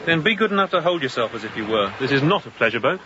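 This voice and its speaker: An actor playing a young ship's captain in a radio drama, speaking in a curt, commanding, sharp-tongued way. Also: male voice, medium pitch, very animated, quick pace, close to an everyday voice. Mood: stern, commanding. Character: stern young newly appointed sea captain. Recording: dull muffled audio, outdoor ambience noise.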